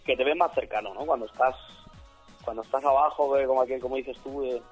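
A man, a DJ and singer chatting as a guest, slightly hoarse, rambling and acting out what others say. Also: medium pitch, steady tone, quick pace, faint music behind. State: hoarse after a weekend of shows. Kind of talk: casual interview chat.

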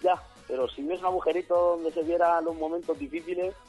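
Hoarse man, husky voice. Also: on the high side, fairly even intonation, quick pace, a little mellow, soft background music. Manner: rambling, acts out what others say in quotes. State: hoarse after a weekend of shows. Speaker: DJ and singer, interview guest. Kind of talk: casual interview chat.

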